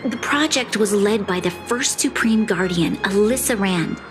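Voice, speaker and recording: A woman playing an earnest, resolute young female leader in a video game cutscene, speaking in a measured, formal way. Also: mid-range voice, natural voice. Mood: solemn, proud. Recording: loud game music behind the voice. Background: music.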